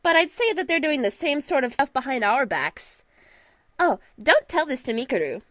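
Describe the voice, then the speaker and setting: Breezy, energetic teenage girl, acted by a woman, high and very animated, folksy, audio drama. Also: normal speed, no echo, studio quality. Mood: playful, knowing. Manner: energetic, folksy, laughs easily. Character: cheerful, breezy, perceptive teenage schoolgirl. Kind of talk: audio drama character acting. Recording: music behind the voice.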